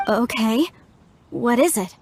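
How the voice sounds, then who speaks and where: A woman's voice: fairly high pitch, lively intonation, takes it slowly, a little hiss or hum. A woman voicing an earnest, sensitive young archaeologist in a video game, with an emotive delivery. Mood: curious.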